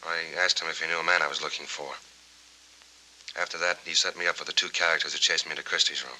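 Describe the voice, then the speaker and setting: An actor playing a guarded, restless war veteran in a 1940s film noir, speaking tersely on a noisy old soundtrack. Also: male voice, extremely low pitch, fairly even intonation, quick pace, pushed and bright, full character voice. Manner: clipped, terse, evasive.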